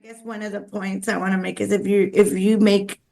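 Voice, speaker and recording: A woman who speaks Spanish natively chats as an interview guest. Her voice sits at an average pitch with plenty of vocal fry. She is earnest and talkative, explaining things in a conversational way. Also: level tone, fairly quick, warm tone. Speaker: podcast guest, pro bono legal coordinator. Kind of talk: conversational interview, personal career storytelling.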